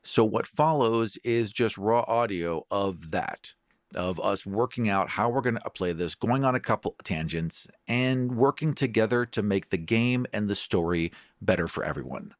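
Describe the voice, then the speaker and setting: A man's voice: lowish voice, moderate pace, no echo, clean studio sound. A man speaking as a podcast host and game master, delivering a scripted introduction. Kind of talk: scripted show introduction and promo.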